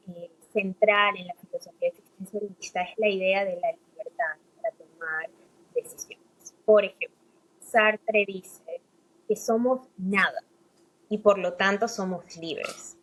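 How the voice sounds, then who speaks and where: A woman's voice: mid-range voice, a little slow, fairly bright tone, poor audio. Woman, podcast co-host, curious, asks long questions. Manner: curious, frames questions at length. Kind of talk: podcast conversation.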